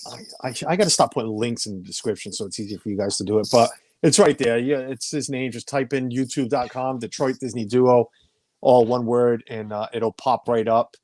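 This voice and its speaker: A man's voice: mid-range voice, a little fast, a little mellow, no room sound. A man in his forties hosting a casual live stream from home, chatty, stumbling over names and reading viewer comments aloud. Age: 40s. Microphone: home streaming setup.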